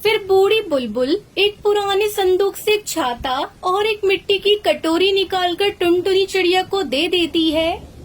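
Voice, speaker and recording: A woman's voice: very high-pitched, a little slow. A woman narrating a scripted children's story.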